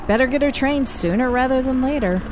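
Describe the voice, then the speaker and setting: An actress playing a steady, commanding woman who leads her neighbors in a radio drama. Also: high-pitched, brisk, natural voice. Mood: firm. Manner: firm, matter-of-fact. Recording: clear street ambience noise.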